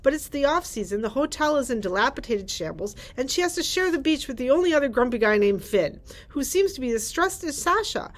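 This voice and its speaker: Middle-aged woman with a higher-pitched voice. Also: big pitch swings, fairly quick, hardly any pauses. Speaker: librarian presenting book picks. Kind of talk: book recommendations, reading blurbs aloud. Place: library room with bookshelves.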